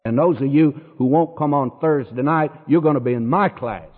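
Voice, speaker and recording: A man's voice: on the high side, moderate pace. Man preaching in an echoey hall. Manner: dramatic preaching, rising when quoting scripture. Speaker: pastor. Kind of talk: sermon. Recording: echoey hall, tinny old recording.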